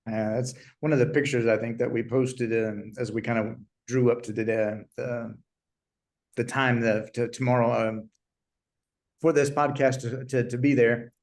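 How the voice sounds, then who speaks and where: A man's voice: mid-range voice, level tone, moderate pace, darkish tone. A middle-aged man hosting a podcast, chatty and self-deprecating, with many fillers. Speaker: podcast host. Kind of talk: casual interview talk.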